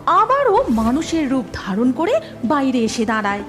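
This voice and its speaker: A woman's voice: high voice, big pitch swings, normal speed, fairly bright tone, soft background music. A woman narrating a scripted cartoon story.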